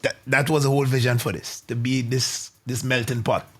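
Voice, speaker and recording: A man co-hosting a casual on-air interview who talks in an easygoing way, dropping low and soft for asides. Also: fairly even intonation, fairly quick, background noise. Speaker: co-host and artist.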